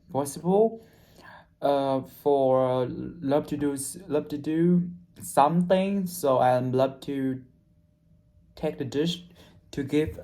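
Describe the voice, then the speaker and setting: A young man, not a native English speaker, talking through his hospitality internship in a halting way, with many restarts and fillers as he searches for words. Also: medium pitch, warm tone. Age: young adult. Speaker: hospitality trainee. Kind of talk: unscripted personal video reflection.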